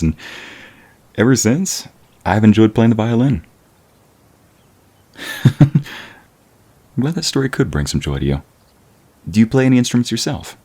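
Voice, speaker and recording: Man playing a bashful, deep-voiced gentleman violinist. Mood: fond storytelling. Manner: bashful, halting, formally polite. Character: shy, polite young male concert violinist, smitten and courteous. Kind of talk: ASMR romantic roleplay audio drama.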